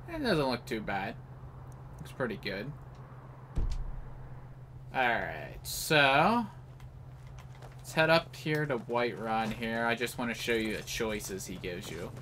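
A man's voice: on the low side, bright, forceful tone, a little hiss or hum. A man giving casual, rambling live game commentary in an offhand way. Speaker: gameplay host.

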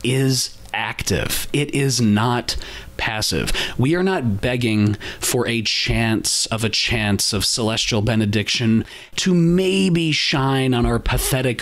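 A man's voice: medium pitch, takes it slowly, a bit bright. A man hosting a podcast, delivering a scripted monologue in an earnest, emphatic way. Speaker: podcast host.

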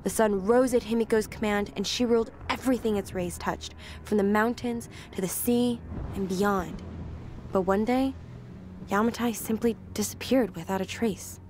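An actress playing a breezy, adventurous young American filmmaker, speaking a journal monologue in a game. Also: female voice, medium pitch, moderate pace, a little mellow, a little hiss or hum, natural voice. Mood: wistful storytelling. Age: young adult.

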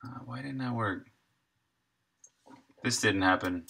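A man's voice: mid-range voice, takes it slowly, vocal fry, sharp tone, husky. A man in his thirties livestreaming game development, casually thinking aloud in short, muttered thoughts as he works.

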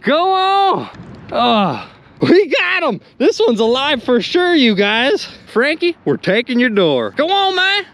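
A young man vlogging from a small boat on a river, exclaiming excitedly as he talks to his viewers. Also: very high-pitched, slow pace. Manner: animated, exclaiming, chatty with viewers. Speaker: magnet fishing vlogger. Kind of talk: excited casual narration to viewers.

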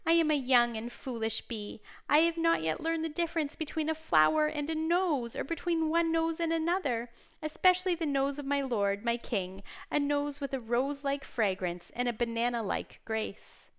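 A woman voicing a small young bee in a children's story, humble, flattering and pleading. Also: medium pitch, a little slow, few pauses, smooth. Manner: pleading, deferential.

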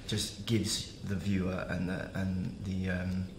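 A man with a very deep, gravelly, flat voice picks his words slowly on a panel, repeating himself as he goes. The room is echoey with a clear hiss underneath. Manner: halting, searching for words. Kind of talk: casual panel discussion.

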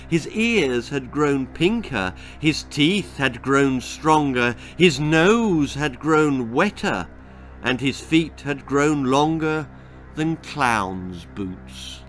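A man's voice: on the high side, lively intonation, slow pace, fairly bright tone, soft background music. A British man in his sixties reading a children's story aloud with dramatic narration. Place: small indoor room lined with bookshelves.

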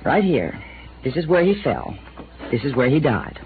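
A brassy, sarcastic young widow on tinny old radio. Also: female voice, rumbling low, very animated, faint music behind, heavily acted. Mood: guarded. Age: young adult. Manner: sarcastic, brassy, quick to take offense. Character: sharp-tongued defensive young widow, suspicious. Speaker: widow of a police chief. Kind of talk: 1950s radio acting.

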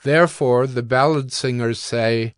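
A man narrating scripture from a script in a measured, solemn storytelling manner. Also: mid-range voice, smooth, no room sound, studio quality. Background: soft music.

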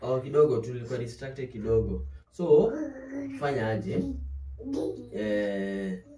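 A man's voice: on the low side, lively intonation, measured pace, dark tone. A man in his twenties with a Kenyan accent in a casual phone conversation, mixing Swahili and English. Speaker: boyfriend on a phone call. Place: living room. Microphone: camera across the room. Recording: distant echoey room with clear noise.

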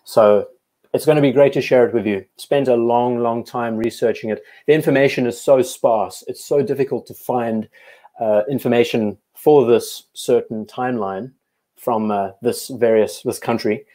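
A man's voice: medium pitch, steady tone, normal speed, warm tone. A man hosting a live watch stream, chatting casually and thinking aloud as he comments on viewers' photos, often restarting his sentences. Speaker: watch show host.

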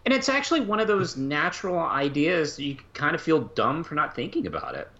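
Male co-host, lively swinging delivery, halting casual discussion, slightly dull recording. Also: a little high. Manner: conversational, halting, thinking aloud. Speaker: podcast co-host. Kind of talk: casual review chat.

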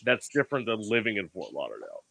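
A man from South Florida hosts a podcast over a video call at home. His voice sits a little low but rings bright and forward. He rambles freely, piling on fillers and quick asides. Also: huge pitch swings, creaky, husky, dry recording, rough recording. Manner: rambling, lots of fillers and laughs. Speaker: podcast host. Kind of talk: casual video-call chat. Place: home room with lamps.

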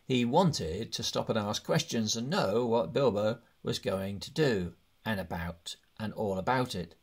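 A man's voice: on the low side, animated, a little slow. A man reading a fantasy story aloud as scripted narration. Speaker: audiobook reader.

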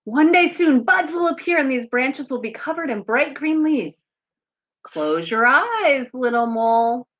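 A woman voicing a patient mother mole in a storybook read-aloud, speaking softly and tenderly. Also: high voice, expressive, a little slow, voice pushed up. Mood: soothing. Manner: soft, tender. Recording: dull lo-fi recording.

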